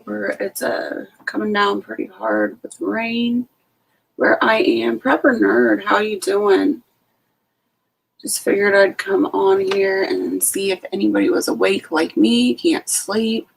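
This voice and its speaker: A woman's voice: medium pitch, fairly even intonation, takes it slowly, gravelly, slight background noise, rough recording. A sleepy woman hosting a late-night casual live stream from home, greeting each viewer by name. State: sleepy, just out of bed. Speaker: homestead channel host.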